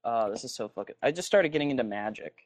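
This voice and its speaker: A man's voice: medium pitch, animated, fast, crowd noise. A man from New Hampshire co-hosting a podcast, rambling and swearing in casual banter. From New Hampshire, USA. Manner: rambling, swearing, laughs at own puns. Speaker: podcast co-host. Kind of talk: casual chat.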